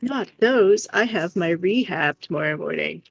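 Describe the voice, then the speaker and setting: A woman's voice: lowish voice, expressive, unhurried, gravelly, husky, no room sound, faint music behind. A woman co-hosting a crafts livestream, chatting casually and playfully with her viewers. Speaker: co-streamer doing crafts.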